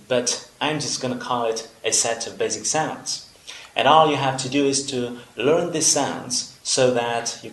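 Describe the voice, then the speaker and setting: A young man whose first language is Vietnamese explains English basics with a Vietnamese accent. He speaks slowly and deliberately, pausing often with small fillers. His voice sits at an ordinary pitch and rings in an echoey room. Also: fairly bright tone, slight background noise. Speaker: English teacher. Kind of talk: slow instructional video lesson.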